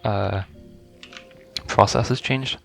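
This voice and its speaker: A man's voice: lowish voice, fairly even intonation, slow, gravelly, a bit bright, faint music behind. A man giving casual first-reaction gameplay commentary, informal and stumbling a little as he reads game text aloud. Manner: informal, stumbles over words when reading aloud. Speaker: gaming video creator.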